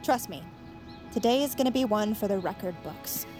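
A woman's voice: medium pitch, expressive, moderate pace, music in the background, lightly acted. An actress playing an eager, chatty young woman who rallies her friends, in naturalistic audio fiction. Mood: eager, persuasive. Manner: hesitant rambling turning to pushy pep talk.

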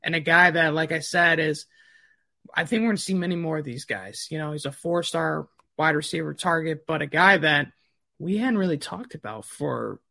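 A man's voice: fairly high pitch, normal speed, vocal fry, bright, forceful tone, no room sound. A man with a Midwestern accent, a recruiting reporter chatting casually, with lots of "you know" fillers. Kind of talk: casual sports talk.

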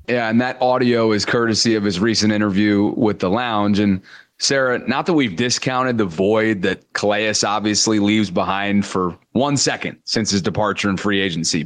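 A man's voice: fairly low pitch, moderate pace, dry recording. Male sports show co-host, casual and upbeat, dry close-mic sound. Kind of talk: scripted sports talk.

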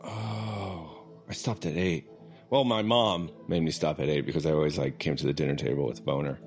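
A man's voice: extremely low pitch, fairly even intonation, moderate pace, soft background music. An actor playing a brash, sarcastic young male delinquent in a comic audio drama, with slangy, incredulous banter. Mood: playful banter. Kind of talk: comic audio fiction acting.

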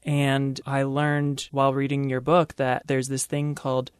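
A man's voice: medium pitch, monotone, a little slow, clear, resonant tone, no room sound, clean studio sound. A man co-hosting an interview in a conversational, personal way. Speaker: interviewer, co-host.

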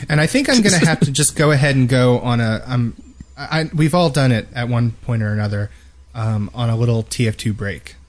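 A man's voice: mid-range voice, brisk. Man chatting casually, rambling podcast host. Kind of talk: casual gaming podcast chat. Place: home studio with unpadded walls. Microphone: boom-mounted microphone close in front of mouth.

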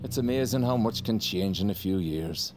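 A man's voice: fairly low pitch, lively intonation, normal speed, music in the background, lightly acted. An actor playing a smug older ex-policeman who talks down to a younger woman. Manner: condescending. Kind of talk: audio drama acting.

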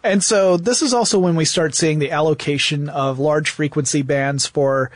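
American male podcast co-host, higher-than-average voice, dry close sound, emphatic storyteller, conversational explainer. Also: steady tone, normal speed, dry recording.

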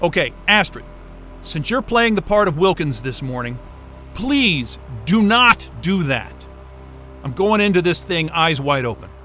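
An actor playing an excitable, sarcastic, foul-mouthed astrophysicist in a naturalistic audio drama. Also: male voice, fairly high pitch, unhurried, soft background music, full character voice. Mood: determined.

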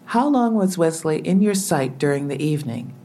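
An actress playing a businesslike police officer who presses with matter-of-fact questions in an audio drama. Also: female voice, deep voice, expressive, moderate pace, warm tone, a little hiss or hum. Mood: probing, businesslike.

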